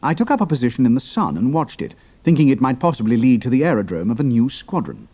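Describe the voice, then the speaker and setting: An actor playing a shrewd, cool-headed British pilot in an audio drama, speaking in a clipped, dry, understated manner. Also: male voice, mid-range voice, big pitch swings, fast, warm tone, breathy edge, no echo. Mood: guarded, dutiful.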